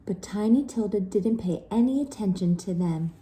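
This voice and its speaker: A woman's voice: mid-range voice, expressive, moderate pace, mellow, slight background noise. A woman narrating a children's storybook expressively, as if reading aloud to young listeners.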